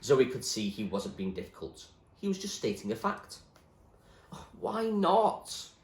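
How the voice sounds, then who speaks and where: Male story reader, teacherly, expressive narration, wide-ranging intonation, roomy acoustic. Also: on the low side, moderate pace. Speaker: teacher reading a novel aloud.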